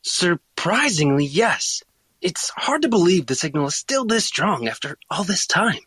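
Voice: mid-range voice, sing-song, unhurried, a bit bright, slightly rough, dry recording, exaggerated voice. An actor playing an earnest young male alien technician in an audio drama, anxious but loyal. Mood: focused.

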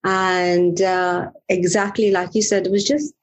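A middle-aged woman with a Romanian accent speaks as a podcast guest. She is earnest and thoughtful, often pausing and restarting as she picks her words. Her pitch sits in the middle range. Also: flat delivery, dull audio. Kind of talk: reflective conversation.

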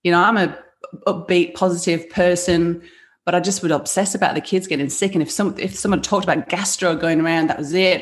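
A woman in her forties from the northeast of England with a Yorkshire-tinged northern accent, chatting as an interview guest, upbeat and full of fillers and restarts. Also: fairly low pitch. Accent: Yorkshire-tinged northern English. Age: 40s. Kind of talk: casual interview chat.

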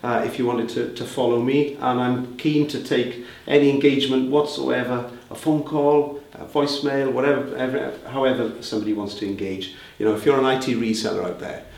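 A man's voice: medium pitch, steady tone, fairly quick, gravelly, hardly any pauses, a little mellow. A Welsh man heading a reseller group, answering interview questions in a conversational way with fillers and restarts. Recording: roomy, distant mic with faint ambience.

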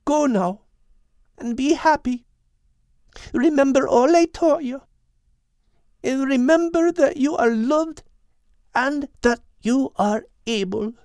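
A small-sounding, high-voiced animal friend from an audiobook. He speaks earnestly and tenderly, with measured solemnity, in a dry, close sound. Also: a little mellow, no room sound, heavily acted. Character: gentle male animal friend solemnly relaying dying words. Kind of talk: audiobook character voice.

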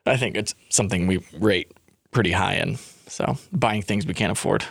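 A man's voice: fairly low pitch, moderate pace, gravelly, a bit bright, no room sound, clean studio sound. A young adult man, co-hosting a podcast in casual, joking chat with his partner and laughing often. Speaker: podcast co-host.

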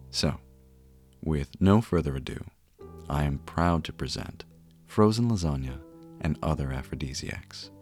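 A man's voice: extremely low pitch, darkish tone. A man hosting an audio fiction podcast, reading a scripted episode introduction. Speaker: podcast host.

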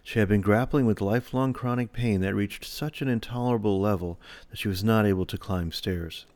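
A deep-voiced man hosts a podcast in a measured, even way, sometimes stumbling over his words as he chats with his guest. His voice is very low and sits in a dry, close recording with no room sound. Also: no room sound. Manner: measured, reads sponsor spots then chats with hesitant restarts. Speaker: podcast host. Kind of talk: interview podcast.

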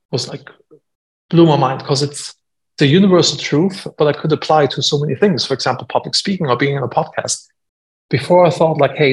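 A man's voice: a little high, low-quality recording. A man in his forties with a German accent, a guest entrepreneur talking casually on a podcast, full of fillers. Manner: conversational, frequent fillers, self-deprecating.

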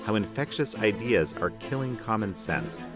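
A man's voice: on the low side, expressive, normal speed, music in the background. A man hosting an interview podcast, asking rambling questions with many fillers. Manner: hesitant, filler-heavy questions. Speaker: podcast host. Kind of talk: interview hosting and read sponsor ad.

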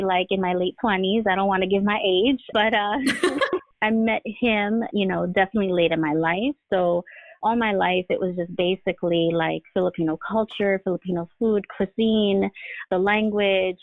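A woman's voice: on the low side, creaky, no echo. A woman from Jersey City, a guest talking openly about her background in a casual, filler-heavy way over a remote call. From Jersey City, USA. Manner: warm, open, lots of fillers. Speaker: guest, artist and singer-songwriter. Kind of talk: casual interview talk. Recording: dry tinny phone-like line.

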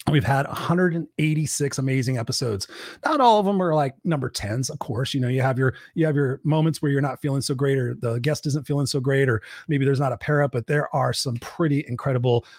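A man hosting a live podcast, hoarse from a cold, talking in an energetic pep-talk way. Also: medium pitch, fairly quick, no echo, studio quality. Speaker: podcast host.

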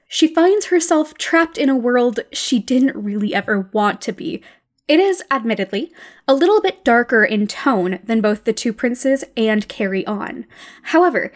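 A woman presenting a scripted but conversational podcast segment, speaking earnestly and directly to the listener. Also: a little high.

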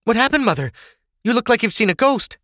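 A man's voice: high voice, big pitch swings, a little fast, bright, forceful tone, no room sound, clean studio sound, pitched above the performer's own voice, exaggerated voice. A man voicing a restless young noblewoman, a blunt but loving daughter, earnest and protesting, in an audiobook story. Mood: concerned.

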